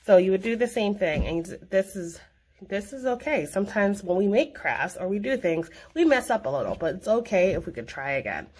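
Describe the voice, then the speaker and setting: A woman's voice: mid-range voice, animated, a little fast, darkish tone, poor audio. A woman casually presenting a craft demonstration for children in a reassuring, encouraging way.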